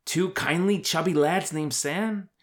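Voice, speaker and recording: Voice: mid-range voice, unhurried, voice pushed up, natural voice. A narrator doing a brash, exasperated young hero. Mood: defensive. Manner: blunt, grumbling, defensive.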